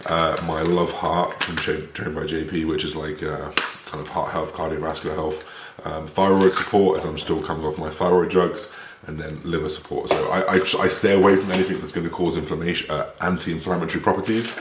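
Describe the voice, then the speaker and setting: A man with a raspy voice and a half West Country accent, chatting casually to camera as a fitness coach and swearing now and then. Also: a bass voice, steady tone, a little fast, noisy background. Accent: half West Country English. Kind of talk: casual vlog talk to camera. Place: home, then gym. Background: music.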